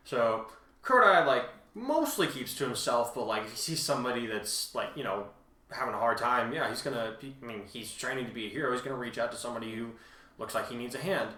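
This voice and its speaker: A man casually explaining as a co-host, halting but emphatic as he talks through his points. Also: medium pitch, pushed and bright. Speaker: co-host and fan fiction author responding to reviews. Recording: roomy, echoing sound.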